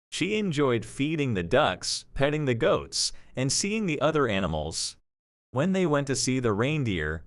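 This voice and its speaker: Male narrator for audio drama: measured storyteller, animated pitch movement, mid register, very dry sound. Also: no room sound.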